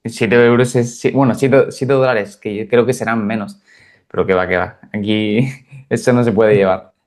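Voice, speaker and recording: A man's voice: lowish voice, fairly even intonation, moderate pace, a little mellow. A man interviewing a guest for a podcast in an informal, conversational way, often rephrasing his questions.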